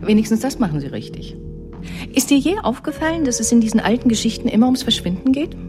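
A woman's voice: a little high, sing-song, fast, music in the background. An actress playing an enigmatic, middle-aged artist mother with a dry, cryptic way of talking in a radio drama. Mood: wry, cryptic.

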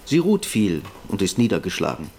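A man's voice: low voice, huge pitch swings, moderate pace, a little mellow, full character voice. Troubled man with swooping intonation. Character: troubled married man confiding about women. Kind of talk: radio drama dialogue.

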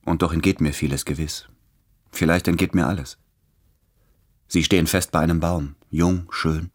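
An actor playing an anguished, self-doubting man in his late thirties, speaking in measured, introspective literary monologue in a radio drama. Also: very deep voice, fairly even intonation.

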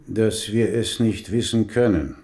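Man playing a cautious admiral, deep, dark tone, grave, deliberate, radio play. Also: close to an everyday voice. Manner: deliberate, sober. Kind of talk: German radio play acting.

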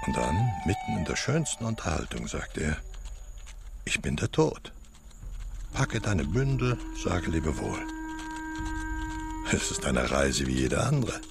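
An actor playing a musing, folksy philosopher in a radio play, telling his thoughts in an animated, gravelly voice. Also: rumbling low, heavily acted. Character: musing, folksy philosopher picturing death as a friendly guest. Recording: music behind the voice. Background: music.

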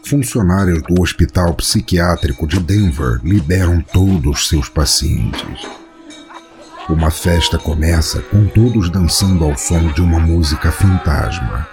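A man's voice: deep voice, measured pace. A man with a Brazilian accent hosting and narrating a scripted storytelling podcast in a theatrical, florid manner. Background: music.

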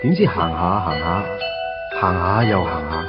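An actor playing a shy, earnest teenage schoolboy in love, narrating and acting in a Hong Kong Cantonese radio drama. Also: male voice, sits low, a little slow. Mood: nostalgic. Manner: hesitant, tongue-tied, earnest. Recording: dull lo-fi radio drama audio. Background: guitar music.

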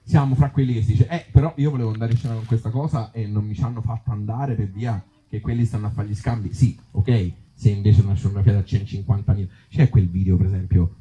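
A man with a Tuscan accent talking informally at a live event as an actor and author, in halting, circling phrases with blunt joking asides. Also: on the low side, steady tone, mellow, low-quality recording. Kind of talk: informal live talk with the audience. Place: outdoor evening event in an olive grove.

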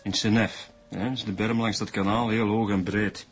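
An actor playing a measured, sceptical Flemish police detective in a radio drama. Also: male voice, on the low side, level tone, slightly rough.

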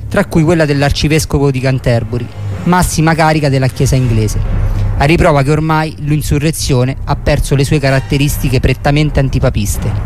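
Higher-voiced man narrating over music. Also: a little mellow. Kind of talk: scripted historical radio documentary narration. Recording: music playing behind the voice.

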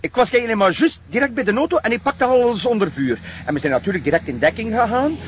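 A man's voice: high-pitched, lively intonation, fast, dull audio, a little hiss or hum. An actor playing a hot-headed, defensive Flemish policeman in a naturalistic TV crime drama, forever justifying himself. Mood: self-justifying.

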